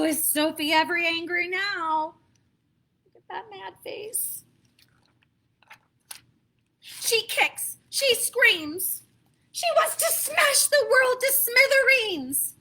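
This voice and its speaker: High, bright-voiced American woman reading stories. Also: creaky. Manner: expressive, dramatic emphasis, asks listeners questions. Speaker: storyteller reading picture books to children. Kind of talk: animated read-aloud storytelling for young children, with asides.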